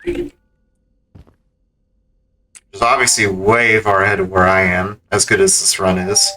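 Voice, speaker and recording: A man's voice: lowish voice, very animated, a little slow, gravelly, sharp tone, a little hiss or hum, low-quality recording. A man streaming a speedrun with casual, rambling, self-critical commentary.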